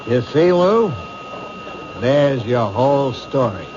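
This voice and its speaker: An actor playing a commanding male police captain in a radio drama, brisk and authoritative. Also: medium pitch, lively intonation. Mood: reflective. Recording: muffled, noisy old radio broadcast.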